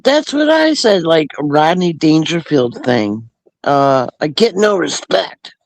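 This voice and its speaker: A man who speaks very slowly in a casual chat, rambling and hesitant, with lots of fillers. His voice sounds dry and close, with no room echo. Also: fairly high pitch, sing-song, gravelly.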